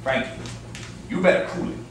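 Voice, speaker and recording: A man's voice: a little fast, lightly acted. A man playing a pushy, menacing gangster fixer in a staged play reading. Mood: threatening. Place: indoor room, live staged reading. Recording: echoey room, distant mic, faint noise.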